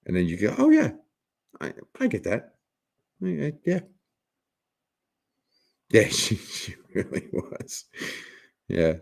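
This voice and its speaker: A man with a raspy smoker's voice chats casually on a livestream, his tone low and gravelly with a creaky edge. He jokes with his viewers, stumbles over restarts and giggles between thoughts. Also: huge pitch swings, takes it slowly, pauses often, a little mellow. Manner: joking, giggly, rambling with many restarts. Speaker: livestream host.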